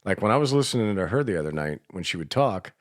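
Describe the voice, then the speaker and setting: A man's voice: fairly low pitch, big pitch swings, quick pace, no room sound, clean studio sound. A man chatting casually as a radio show host, with an informal, teasing way of talking. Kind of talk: casual chat.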